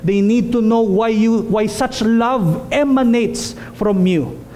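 High-voiced, mellow man preaching. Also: slow pace, slight background noise. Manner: earnest, emphatic, repeats phrases for stress. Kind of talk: sermon. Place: small church hall, indoors.

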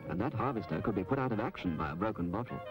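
A man reading scripted public information narration in an instructive, measured manner on an old film soundtrack. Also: on the low side, animated, low-quality recording. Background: music.

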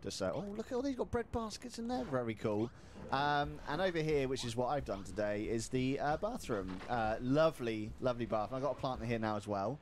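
A young male streamer talks in a relaxed, joking way, showing viewers around a build as he plays. His voice is mid-pitched, with a faint hiss under it. It was recorded on a desk mic in a small room at home. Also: a little fast, hardly any pauses. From United Kingdom. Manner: joking, chatty tour guide. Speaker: streamer co-host. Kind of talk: casual game stream chat and tour.

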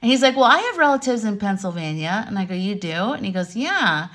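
An American woman in her thirties or forties from Arizona, chatting to camera at home in an animated, rambling, conversational way as she tells personal stories. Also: mid-range voice, fairly quick. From Arizona, United States. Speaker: vlogger and life coach. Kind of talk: casual personal storytelling to camera. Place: home room with wooden beams, indoors.

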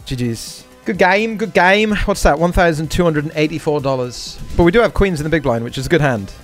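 A man's voice: a little high, expressive, a little fast. Man commentating live poker over music. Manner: talks through hands aloud, repeats short catchphrases. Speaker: poker streamer and host.

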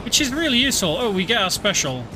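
A man's voice: sits high, sing-song, fast, a crowd in the background. Chatty British man commenting on a game. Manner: chatty, explaining as he plays, many fillers. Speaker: game streamer. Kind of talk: casual gameplay commentary. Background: game music.

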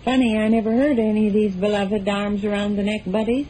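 A woman's voice: medium pitch, fairly even intonation, brisk, mellow, close to an everyday voice, poor audio. Lady acting a fed up penny-pinching wife on 1940s radio, sarcastic n scolding, bit of old hiss. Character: exasperated thrifty wife, nagging and sarcastic.

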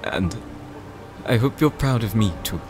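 Animated, slow-paced wounded warrior played by a man. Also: fairly low pitch, music in the background. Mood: pained, resigned. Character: wounded, devoted warrior brother in fantasy adventure. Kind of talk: dramatic anime-style audio roleplay acting.

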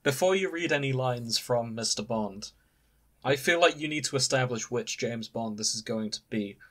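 A man's voice: medium pitch, moderate pace, fairly bright tone. A man co-hosting a comedy podcast, bantering casually in a teasing, mock-serious way. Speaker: podcast co-host.

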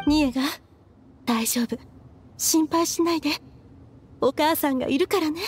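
Woman acting high-voiced grieving anime mother. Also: normal speed, a little mellow, soft background music, close to an everyday voice. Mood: tender reassurance. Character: grieving, obsessive mother desperate to revive her daughter. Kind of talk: Japanese anime game acting.

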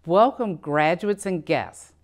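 A middle-aged woman delivers a formal commencement address from a podium. Her voice sits low and her delivery stays measured and prepared. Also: animated. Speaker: college president giving commencement address. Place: studio in front of a backdrop.

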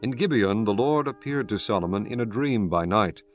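A man's voice: fairly low pitch, lively intonation, moderate pace, highs cut off. A man giving scripted narration for a dramatized Bible recording, telling the story in a solemn, formal manner. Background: soft background music.

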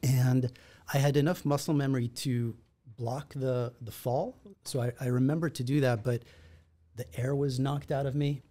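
A man in his forties from Israel, a podcast guest, telling his story in a reflective, measured way at a studio mic. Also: medium pitch, steady tone, dark tone. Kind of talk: conversational storytelling. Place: podcast studio in a martial arts gym. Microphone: boom-arm studio mic with headphones.

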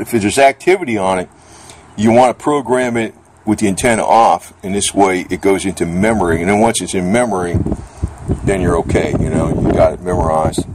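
A middle-aged man chatting casually and unscripted about gear, rambling in a plain-spoken, salty way. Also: low voice. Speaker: vlogger reviewing gear. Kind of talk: casual, unscripted product chat. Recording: steady background noise.

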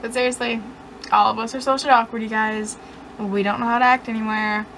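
A woman's voice: a little high, level tone, fairly quick, fairly bright tone, slight background noise, rough recording. A young woman vlogging from her bedroom, rambling in a sarcastic, self-mocking way. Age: young adult. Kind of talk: casual chat to camera. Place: dim bedroom, indoors.

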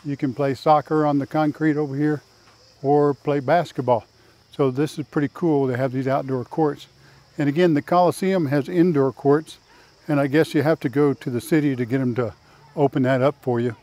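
A man's voice: a little high, fairly even intonation, normal speed, mellow. An older man hosting a casual walking tour outdoors on a lapel mic, folksy and plain-spoken. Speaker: vlog host and tour guide. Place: outdoors in a park.

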